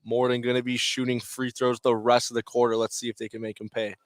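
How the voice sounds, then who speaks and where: A man's voice: mid-range voice, completely flat delivery, quick pace. A man calling basketball play-by-play for radio in clipped running phrases. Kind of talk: live sports radio commentary. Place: indoor basketball gym. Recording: noisy gym ambience behind. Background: basketball bounces, gym noise.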